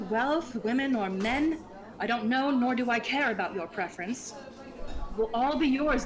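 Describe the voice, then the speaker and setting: A woman's voice: on the high side, rough recording. A woman playing a vampire lord's loyal, haughty minion in a scripted ASMR roleplay, speaking formally and persuasively with disdain.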